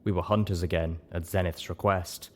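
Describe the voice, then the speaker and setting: A man's voice: low voice, steady tone, normal speed, slight background noise. A man reading scripted story narration as an audiobook narrator.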